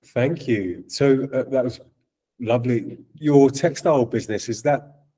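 A man hosting an online event asks polite, halting questions. His voice sounds mellow and sits back from the mic in distant video-call audio. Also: medium pitch, husky.

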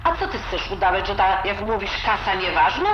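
A woman's voice: mid-range voice, big pitch swings, a little fast, lightly acted. A woman playing a blunt, disapproving old grandmother with a Silesian accent and grumbling, dialect-filled talk in an audio drama. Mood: scolding. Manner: grumbling, colloquial, full of dialect words. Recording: roomy, dull, noisy home recording.

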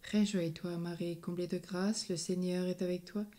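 A woman leads a rosary in a slow, steady, prayerful recitation. Her voice sits a little low and sounds soft and mellow. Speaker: prayer leader.

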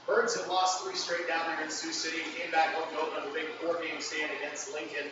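A man hosting a post-game press conference, asking questions in a smooth announcer delivery. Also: a little high, flat delivery, brisk, highs cut off. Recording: echoey room, far off-mic, noisy.

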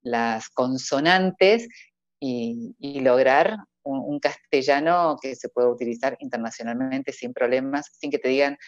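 A low-pitched woman from Córdoba, Argentina, with a lilting Cordobés accent, talking easily and laughing often. Her voice comes through earphone headset audio on a video call, a little dull and very dry. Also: measured pace, no room sound. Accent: Cordobés Argentine Spanish. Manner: laughs often, warm sing-song lilt. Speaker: voice-over artist guest. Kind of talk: casual interview over video call. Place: indoor room at home.

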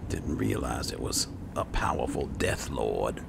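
A man's voice: very deep voice, big pitch swings, measured pace, vocal fry, whispered. A man giving roleplay commentary on a video game in muttered asides, playfully talking to his companion in character. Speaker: gameplay host.

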